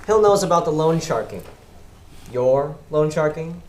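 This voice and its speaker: A woman's voice: low-pitched, expressive, measured pace, darkish tone. A woman playing a shady, controlling loan shark on an amateur stage, speaking in curt, menacing lines. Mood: guarded, cool. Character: shady, controlling loan shark named Raymond, coldly threatening. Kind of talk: amateur stage play acting. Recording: echoey stage recording with faint noise.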